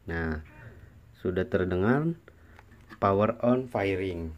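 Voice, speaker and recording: Male Indonesian vlogger, low register, informal and halting, walking through product features conversationally. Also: lo-fi sound. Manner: informal, halting, repetitive explaining. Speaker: motovlogger presenting a product explainer. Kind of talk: casual product explainer to camera.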